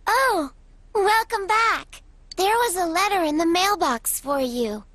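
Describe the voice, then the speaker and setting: A woman voicing a polite, earnest little girl in a video game, gentle and childlike. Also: extremely high pitch, animated, takes it slowly, no room sound, exaggerated voice. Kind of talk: English video game voice acting.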